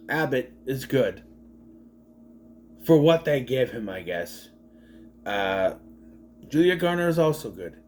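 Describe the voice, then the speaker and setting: A man's voice: medium pitch, a little hiss or hum. A man in his late thirties giving a casual movie review, rambling, swearing freely and wandering off on tangents. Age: 30s.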